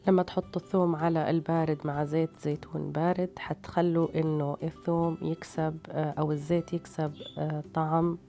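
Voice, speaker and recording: A woman's voice: low-pitched, flat delivery, a little fast, dark tone. A woman with a tired voice, narrating recipes as a cooking host in a casual, hesitant way. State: tired, strained voice. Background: background music.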